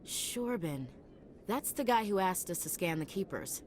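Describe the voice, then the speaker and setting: A woman's voice: mid-range voice, lively intonation, unhurried, a little mellow, slight background noise, natural voice. An actress playing a matter-of-fact female squad companion in a video game.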